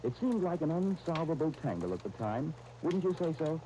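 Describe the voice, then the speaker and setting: Animated, mellow American radio announcer, man. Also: fairly high pitch, brisk, low-quality recording. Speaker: radio announcer and show host. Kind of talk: 1930s radio sponsor announcing. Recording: hissy 1939 radio broadcast disc.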